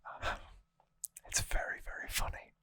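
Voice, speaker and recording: ASMR host: unvoiced, sibilant-bright whisper, casual rambling delivery, very dry close-mic sound. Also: a little slow, no echo. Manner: hushed, relaxed, rambling casual explanation. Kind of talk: whispered ASMR trivia recap. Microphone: close microphone.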